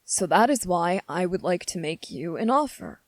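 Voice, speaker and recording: A woman's voice: fairly low pitch, big pitch swings, normal speed, no room sound. A woman playing an anxious, earnest young prince in an ASMR fantasy roleplay, speaking carefully and formally with hesitant pleading. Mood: earnest, diplomatic.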